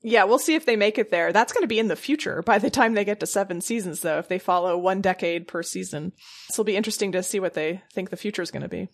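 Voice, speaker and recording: A woman's voice: medium pitch, fast talker. Chatty woman podcast co-host. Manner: chatty, laughs often. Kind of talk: casual chat.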